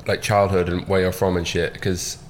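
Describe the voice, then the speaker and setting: A man's voice: sits low, flat delivery, normal speed, a little hiss or hum. A man hosting a podcast, asking questions in a laid-back, casual way with some swearing. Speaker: podcast host. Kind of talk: casual interview chat.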